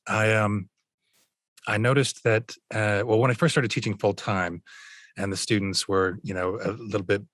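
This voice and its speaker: A man's voice: fairly low pitch, fairly even intonation, moderate pace, a bit bright, no echo, studio quality. A middle-aged man with a mid-Atlantic American accent, chatting as a podcast guest, rambling with fillers and restarts. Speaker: voice actor guest. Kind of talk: casual podcast chat.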